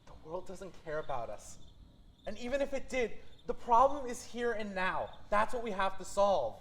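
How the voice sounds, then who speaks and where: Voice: mid-range voice, big pitch swings, normal speed, fairly bright tone, slight background noise. An actor playing a pragmatic, nervous factory worker in a staged reading, skeptical of revolt.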